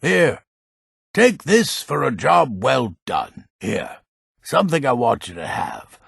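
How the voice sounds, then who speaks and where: An actor playing a grumpy old male villager who keeps a potion shop in a fantasy video game, with a gravelly, husky voice and a gruff manner. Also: mid-range voice, big pitch swings, slow, a bit bright, dry recording, studio quality, full character voice. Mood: gruff, reluctant. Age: older. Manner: gruff, curmudgeonly. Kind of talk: video game character lines.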